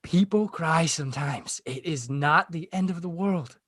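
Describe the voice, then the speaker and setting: A man playing a beleaguered, sarcastic high school class president in a comedy table read, halting, defensive and easily brought to tears. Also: fairly bright tone, husky, no room sound, studio quality. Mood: exasperated.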